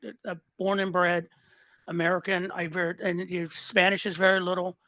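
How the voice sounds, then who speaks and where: A man's voice: high-pitched, flat delivery, normal speed, pushed and bright, no echo. Fired-up guest in his sixties, talks in bursts and restarts, dry close sound. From Southern California. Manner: fired up, repeats and restarts words. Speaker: listener guest. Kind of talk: casual political chat.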